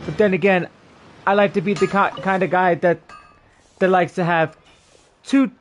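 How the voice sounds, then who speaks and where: A man's voice: sits high, steady tone, moderate pace, slight background noise. A man chatting casually on a game livestream, rambling and repeating his phrases as he goes. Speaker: game streamer. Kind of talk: casual livestream chat over gameplay. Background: game music.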